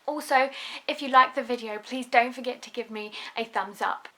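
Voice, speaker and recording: A young woman speaks to camera in a chatty, earnest way, with a faint Russian accent worn down by a London upbringing. Her voice sits a little on the high side. She fills her pauses with ums and restarts her sentences now and then. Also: a bit bright. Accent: Russian, softened by a London upbringing. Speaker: vlogger, new mother. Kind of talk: casual talk to camera.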